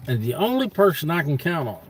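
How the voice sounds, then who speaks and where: A man's voice: fairly high pitch, sing-song, brisk, slightly rough, poor audio. A man in his fifties talking casually to livestream viewers in a rambling monologue, blunt and folksy, repeating points for emphasis. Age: 50s. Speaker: livestream host.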